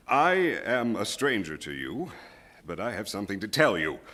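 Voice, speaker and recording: A troubled old husband played by a man in a stage radio play, halting and melodramatic. His pitch swings dramatically as he speaks slowly in an echoey hall. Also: bright, forceful tone, slight background noise, heavily acted. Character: Edward Richards, troubled, hesitant old husband. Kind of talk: theatrical live radio drama acting.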